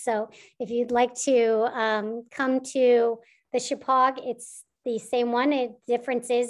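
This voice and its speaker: A woman school administrator answering parents' questions in an online information session, reassuring and with frequent ums. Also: fairly high pitch.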